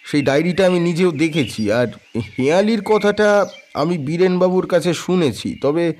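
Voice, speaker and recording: Audio drama estate manager, played by a man: helpful, careful, explanatory, pitched a little high, over faint outdoor bird noise. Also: a little mellow, lightly acted. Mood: guarded. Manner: polite, hesitant, explanatory. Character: courteous tea-estate manager, guarded but helpful older employee.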